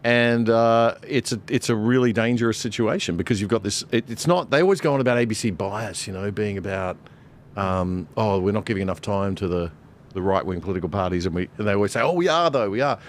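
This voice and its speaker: A man's voice: lowish voice, quick pace, slight background noise. A middle-aged Australian man, a broadcaster on a discussion panel, talking emphatically with many fillers and restarts.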